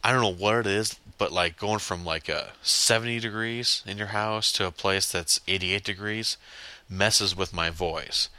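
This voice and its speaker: A young man hosts his own podcast, rambling and cursing bluntly with a stuffed-up, congested nose. His voice sits very low yet comes across sharp and pushy. The sound is dry, close and without room echo. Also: a little slow. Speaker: podcast host. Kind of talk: casual solo podcast rant.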